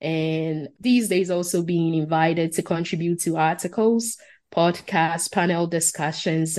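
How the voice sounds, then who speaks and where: A woman's voice: low-pitched, darkish tone. A woman, a Twi speaker, giving long, earnest answers as a podcast guest. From Ghana. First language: Asante Twi. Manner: earnest, detailed listing. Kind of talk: podcast interview.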